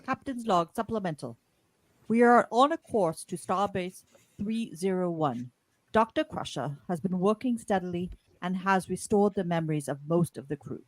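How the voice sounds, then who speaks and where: A resolute, principled starship captain , played by a woman speaks in a lowish voice with formal authority. It is an amateur sci-fi radio play read on a close, dry mic. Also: animated, normal speed, no echo, natural voice, rough recording. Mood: grave, formal.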